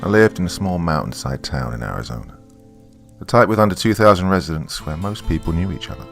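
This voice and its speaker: A man reading a scripted first-person horror story as its narrator, in a subdued, measured storytelling manner. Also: deep voice, expressive, gravelly, soft background music.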